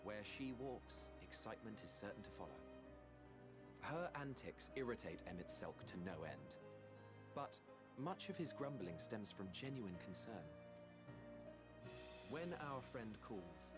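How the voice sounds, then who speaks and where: A genial, modest mage in a video game. His voice sits fairly low yet moves with lively melody, telling things in polished, playful phrases over clearly audible music. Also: unhurried, pauses often. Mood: fond, reflective. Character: genial, self-effacing male mage, fondly admiring his friends. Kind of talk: scripted video game voice acting. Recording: music under the voice.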